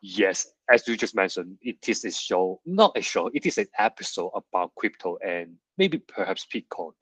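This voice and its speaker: A man with a husky voice hosting a podcast, introducing a guest and asking questions. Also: deep voice, sing-song, normal speed, a bit bright, dry recording. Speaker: podcast host. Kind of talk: scripted introduction and interview questions.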